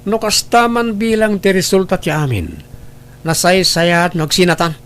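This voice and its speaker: A man's voice: high-pitched, steady tone, moderate pace, a bit bright. A man playing a suspicious, wounded husband with an insistent, accusing manner in a melodramatic audio drama. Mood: bitter, accusing. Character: suspicious, wounded husband doubting his child's paternity.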